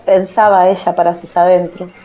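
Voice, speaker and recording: A woman's voice: lowish voice, little pitch movement, normal speed, dark tone. A woman narrating a literary story as a dramatized radio reading. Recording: slightly muffled, music underneath.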